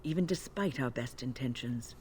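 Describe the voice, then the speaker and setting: A woman voicing an older female mage companion in a video game, who speaks in a measured, gently probing way. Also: rumbling low, slight background noise. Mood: earnest.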